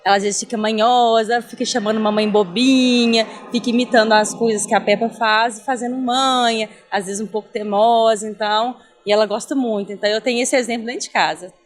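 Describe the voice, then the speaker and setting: A woman's voice: a little high, fairly even intonation, a little fast, few pauses. Chatty Brazilian mother over faint music. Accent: Brazilian Portuguese. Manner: chatty, anecdotal. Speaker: interviewed mother. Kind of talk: street interview answer.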